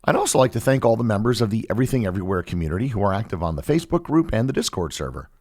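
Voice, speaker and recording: A man hosting a podcast, reading a scripted educational narration in a measured, explanatory way with emphatic phrasing. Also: on the low side, sing-song, a little mellow, husky. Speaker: podcast host.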